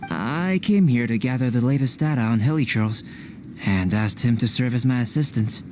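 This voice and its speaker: An actor playing a composed young scholar in an anime-style video game, analytical and detached, with measured, precise, formal speech. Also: male voice, mid-range voice, lively intonation, darkish tone, slight background noise, close to an everyday voice. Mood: calm, matter-of-fact.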